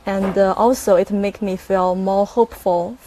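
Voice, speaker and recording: A woman's voice: mid-range voice, fairly even intonation, normal speed, dark tone, slight background noise. A woman in her twenties from Shandong with a Chinese accent, answering interview questions earnestly in careful non-native English. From Shandong, China. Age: 20s. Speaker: guest, young civil servant.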